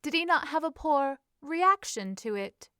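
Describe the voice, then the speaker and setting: A woman reading as a timid, wide-eyed young lady in a period story, hesitant and halting. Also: high-pitched, animated, a bit bright, no room sound, clean studio sound, pitched above the performer's own voice. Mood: doubtful, surprised. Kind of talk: audiobook-style character reading.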